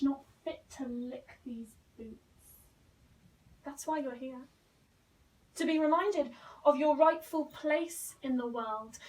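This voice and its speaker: A woman acting a stern, contemptuous dominatrix in an amateur play, giving orders in a scornful, deliberate manner. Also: high-pitched, recorded from a distance, slight background noise, rough recording. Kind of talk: amateur stage play acting, recorded at home.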